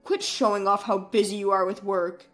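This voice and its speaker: A cold, belittling husband played by a woman in a narrated story. The voice is dropped low and scornful, easily annoyed, with soft music behind it. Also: steady tone, normal speed, natural voice. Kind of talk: dramatised story dialogue.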